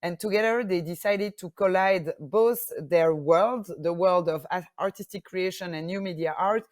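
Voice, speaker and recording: A woman with a Belgian French accent giving a conference presentation in a steady voice, with frequent fillers and restarts. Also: lowish voice, no echo. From French-speaking Belgium. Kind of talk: presentation talk.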